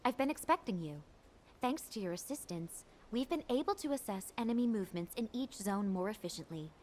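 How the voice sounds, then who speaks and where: A woman's voice: mid-range voice, lively intonation, a little fast, a little hiss or hum, natural voice. A woman voicing an earnest, caring military commander giving formal, composed mission briefings in a video game. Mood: composed, serious.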